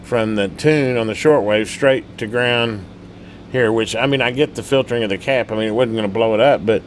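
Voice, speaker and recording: A man with a fairly low voice talks in a colloquial, folksy manner. He rambles casually as he demonstrates hobby repair work, with a faint layer of noise behind him. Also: fairly quick. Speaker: radio repair hobbyist and channel host. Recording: steady background hum and noise.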